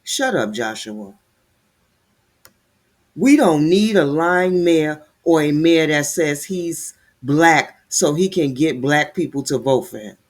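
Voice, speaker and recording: A man with a fairly high voice delivers ranting commentary on a news story. He reads passages aloud, trips over words, then cuts in with sarcastic, heated remarks. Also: expressive, slow.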